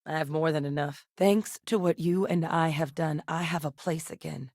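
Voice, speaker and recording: An actress playing a composed, earnest woman scientist with a military past in a video game, speaking in a measured, serious, articulate way. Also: low-pitched, steady tone, a little mellow, no echo, studio quality. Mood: warm, candid. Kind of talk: scripted game dialogue, naturalistic acting.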